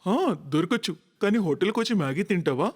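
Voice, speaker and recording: A man's voice: high-pitched, lively intonation, fast, darkish tone, long reverb tail. A man voicing an easygoing young bridegroom in a story, casual and agreeable. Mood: relaxed.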